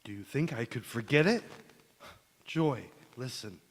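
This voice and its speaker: A man playing a smitten, fickle young suitor in a radio drama, with a halting, earnest delivery. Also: mid-range voice, animated. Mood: ardent. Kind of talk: stage radio drama acting. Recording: echoey church hall.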